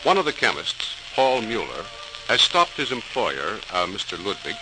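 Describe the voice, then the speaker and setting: A man's voice: fairly low pitch, sing-song, normal speed, over music. A man narrating a scripted story in a dramatic 1940s radio style. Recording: noisy crackling old radio recording.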